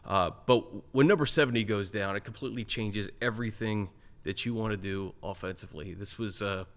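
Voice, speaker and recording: A man's voice: fairly low pitch, a little fast. An American man hosting a sports talk show, laying out points earnestly and handing questions to his co-host.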